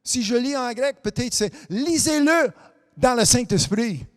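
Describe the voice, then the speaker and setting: Male preacher, high voice, English as his first language, amen-heavy sermon with stops and restarts, hall reverb trailing behind. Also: expressive. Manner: peppers lines with amen and hallelujah, halting restarts, sometimes sing-song. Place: church hall.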